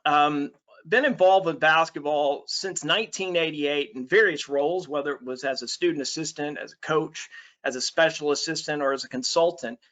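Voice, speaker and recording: A man's voice: on the high side, moderate pace, fairly bright tone. A middle-aged man from Alabama giving an online basketball coaching talk, friendly and full of fillers. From Alabama, USA. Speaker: basketball coach presenting a webinar. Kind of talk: online presentation.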